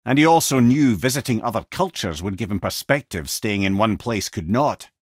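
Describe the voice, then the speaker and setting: Wise, talkative old Scottish sage, game character. Wry, eloquent, widely varied pitch, dry studio sound. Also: male voice, lively intonation, normal speed, breathy edge, no echo. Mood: sage advice. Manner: eloquent, wry storyteller with colourful old-fashioned turns of phrase. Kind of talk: video game character lines, storytelling and banter.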